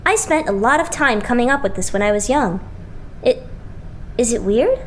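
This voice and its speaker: A woman voices a quirky young anime idol with a high, swooping voice. The delivery is dramatic and exclaiming, like an ordinary kid overwhelmed by everything, with faint noise behind. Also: animated, normal speed, close to an everyday voice. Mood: glum, sheepish. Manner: theatrical, exclaiming. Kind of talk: anime game fan dub acting.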